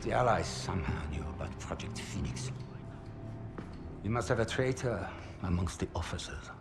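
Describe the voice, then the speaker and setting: An actor playing a menacing senior commander who speaks slowly and gravelly. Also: male voice, low-pitched, animated. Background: music.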